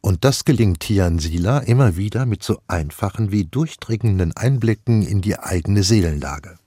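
A man presenting a radio book review as the critic, in a measured, analytical way. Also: lowish voice, lively intonation, dark tone, slightly rough, dry recording, studio quality.

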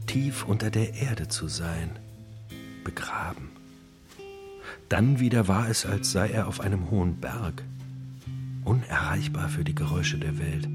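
A brooding male narrator tells of a prisoner's inner life in a low voice. The delivery is intense and literary, in the manner of a dramatic radio play, with guitar music clearly behind it.

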